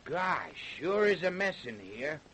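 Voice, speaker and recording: A man's voice: on the high side, sing-song, slow pace, a bit bright, heavily acted. An actor playing a folksy, skeptical and self-assured small-town lawman in an old-time radio crime drama, with colloquial phrasing. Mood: startled, puzzled. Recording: hissy old radio recording.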